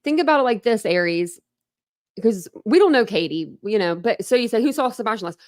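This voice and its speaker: A woman's voice: a little high, animated, a little fast, a little mellow. A woman hosting a true crime livestream in casual, rambling commentary, often breaking off and restarting. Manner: rambling, chatty, self-interrupting with laughs. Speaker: true crime channel host.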